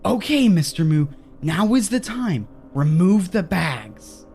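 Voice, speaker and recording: A woman's voice: fairly low pitch, very animated, takes it slowly, mellow, soft background music, full character voice. A woman playing a scheming, upbeat assistant and kids' show host in a horror comedy audio drama. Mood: conspiring.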